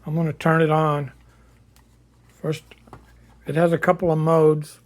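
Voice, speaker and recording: Even-toned man casually demonstrating. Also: on the high side, a little slow. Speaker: hobbyist builder presenting a project.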